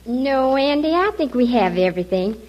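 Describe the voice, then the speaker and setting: Gentle, high-voiced radio homemaker. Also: female voice, lively intonation, normal speed. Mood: reassuring. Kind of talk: 1940s American radio comedy acting. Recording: dull old radio recording.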